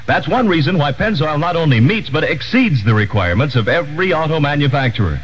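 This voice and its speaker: A man's voice: a little high, animated, quick pace, husky. An American man giving a scripted 1960s radio commercial announcer read. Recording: muffled 1960s AM radio, slight noise.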